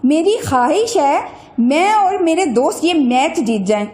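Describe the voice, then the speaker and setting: A woman voicing an eager, excitable young boy in a children's moral story. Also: high voice, unhurried. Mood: hopeful. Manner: eager, exclaiming, wishful. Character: excitable, hopeful young boy who finds magic. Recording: roomy echo.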